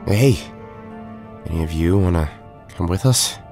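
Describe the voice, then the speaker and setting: An actor playing a weary, warm-hearted young male hero in an audio drama. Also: low voice, lively intonation, measured pace, faint music behind. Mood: grateful.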